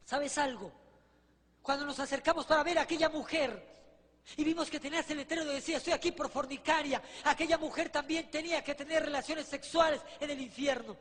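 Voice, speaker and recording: A man's voice: very high-pitched, fairly bright tone. A man preaching a vivid testimony in a fervent storytelling manner, often putting rhetorical questions to his listeners. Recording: reverberant hall with long echo.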